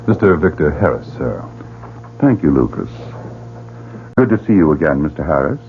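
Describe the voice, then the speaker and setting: A suave, sinister club director. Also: male voice, extremely low pitch, sing-song, normal speed, background noise, full character voice. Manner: theatrical, suave, teasing. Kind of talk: 1940s radio drama acting. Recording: phone-like old radio broadcast.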